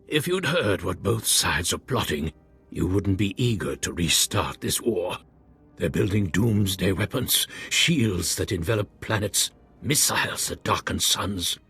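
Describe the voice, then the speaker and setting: A man playing a wounded defecting military general, weary and fatalistic, with a husky, strained voice in a science fiction game. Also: deep voice, animated, a little slow, a bit bright, faint music behind, full character voice. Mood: grim resignation.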